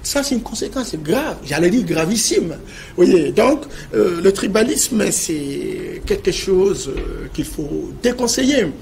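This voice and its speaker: Male guest, steady explanatory debate talk, gravelly tone. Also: high voice, slight background noise. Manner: measured, explanatory, uses verbal fillers.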